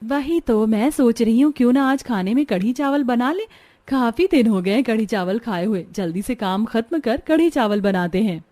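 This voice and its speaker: Woman playing an earnest, high-pitched village housewife. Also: expressive, moderate pace, mellow, no echo, natural voice. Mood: cheerful. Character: village women, mother-in-law and daughters-in-law, earnest and practical. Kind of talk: scripted cartoon story dialogue.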